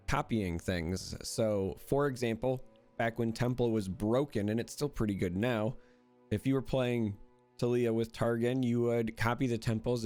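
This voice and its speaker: A man's voice: on the low side, a little mellow. A young man losing his voice, casually reviewing a new game card with jokes and enthusiasm. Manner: enthusiastic, joking, explanatory. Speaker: card game content creator.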